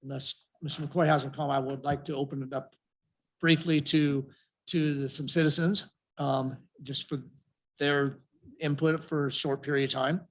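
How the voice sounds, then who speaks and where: A man's voice: on the high side, flat delivery, moderate pace, rough recording. Hesitant man chairing a council meeting. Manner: hesitant, many restarts and fillers. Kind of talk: halting meeting talk.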